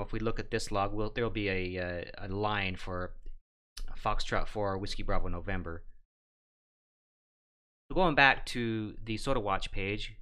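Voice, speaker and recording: A middle-aged man hosting a how-to show, walking through steps in a plain, instructional and somewhat halting way. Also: fairly low pitch, bright, forceful tone, clean tone. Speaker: host of a ham radio how-to show. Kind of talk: step-by-step tutorial narration.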